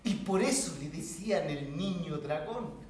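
A man's voice: a little high, animated, a little mellow, a little hiss or hum. A man playing a humble, pleading farmhand and father in a theatrical monologue, with a Latin American Spanish accent. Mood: storytelling. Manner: emotive, drawn-out delivery with repeated pleas. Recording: very echoey room, distant microphone.

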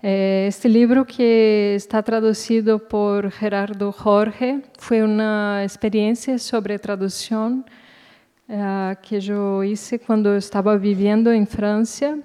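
Slow-speaking woman in an echoey hall. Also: medium pitch, flat delivery, mellow, smooth. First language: Portuguese. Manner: halting, searching for words. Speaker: guest author, poet. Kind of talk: unscripted talk at a literary event.

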